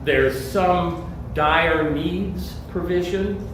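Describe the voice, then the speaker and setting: Man, church warden, casual halting talk, distant mic, roomy echo, noisy background. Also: fairly high pitch. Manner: conversational, halting, fills with uh. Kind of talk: answering questions at a parish forum. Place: church meeting room. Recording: echoey room, distant mic, noise.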